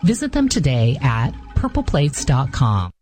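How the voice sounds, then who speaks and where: Ad announcer, mellow tone, swooping pitch, salesy, faint music underneath. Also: wildly swooping pitch, unhurried. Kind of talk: scripted radio ad read.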